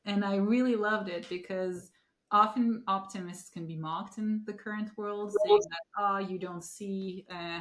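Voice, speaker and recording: Woman host in an echoey room. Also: medium pitch. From Turkey. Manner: earnest, many fillers and rephrasings. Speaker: podcast host. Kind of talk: conversational interview.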